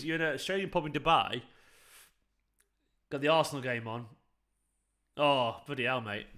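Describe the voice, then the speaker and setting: Young South Manchester man, bright forward voice, rambling chatty fan-stream host. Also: fairly high pitch. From South Manchester, England. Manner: chatty, rambling, talks to viewers. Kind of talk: live football watchalong chat.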